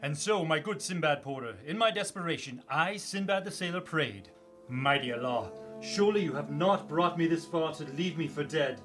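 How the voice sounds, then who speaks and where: An actor playing a boastful, adventurous sailor captain in a theatrical radio drama, speaking in a grandiose, declamatory way. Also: male voice, fairly high pitch, lively intonation, moderate pace, a bit bright, soft background music. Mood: pious, solemn.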